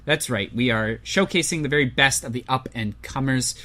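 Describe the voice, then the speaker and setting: A young man co-hosting a podcast in casual chat, talking quickly with dry, deadpan sarcasm. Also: mid-range voice. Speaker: podcast co-host.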